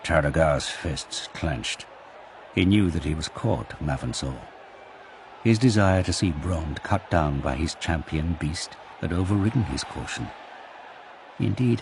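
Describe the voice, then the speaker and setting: A man's voice: very deep voice, darkish tone. A man narrating an audio drama in a dramatic, scripted storytelling style. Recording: clear background noise and ambience.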